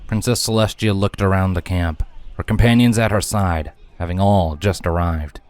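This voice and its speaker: A man's voice: sits low, normal speed, a little mellow, no echo. A man reading scripted fantasy fiction as a narrator.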